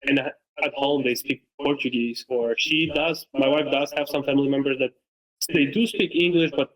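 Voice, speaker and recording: A young man with a Romanian accent talks as a guest in a relaxed interview. He reflects earnestly in a mid-pitched voice and breaks up his sentences with fillers and restarts. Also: normal speed, poor audio. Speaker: guest, football creator and coach. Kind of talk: casual conversational interview. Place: lives in Massachusetts.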